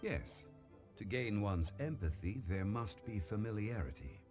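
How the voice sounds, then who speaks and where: A man playing a learned, composed gentleman in a video game, speaking in a formal, measured, courteous way. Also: on the low side, lively intonation. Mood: thoughtful. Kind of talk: animated game cutscene dialogue. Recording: music behind the voice.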